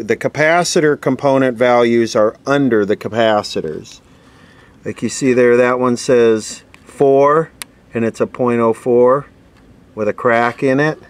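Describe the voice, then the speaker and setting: A man giving casual, off-the-cuff repair commentary, muttering and thinking aloud as he works. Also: medium pitch. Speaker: host repairing vintage electronics on camera. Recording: steady background noise. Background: steady background noise.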